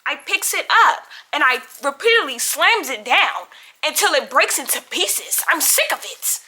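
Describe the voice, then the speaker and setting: Very bright, high-pitched young American woman. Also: big pitch swings, moderate pace. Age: young adult. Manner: dramatic, slangy, acts out quoted dialogue. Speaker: storytime vlogger. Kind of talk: casual animated storytime.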